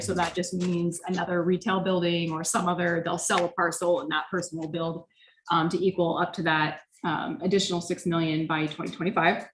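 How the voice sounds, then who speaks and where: Soft-voiced woman reading a staff report. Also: on the low side, a little hiss or hum, low-quality recording. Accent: Midwestern American. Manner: apologetic, conversational. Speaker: city staff member giving an update.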